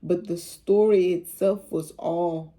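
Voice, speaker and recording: A woman with a low, soothing voice, speaking casually and with rambling repeats as she talks about faith on her vlog. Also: steady tone, slow, mellow. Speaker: vlogger.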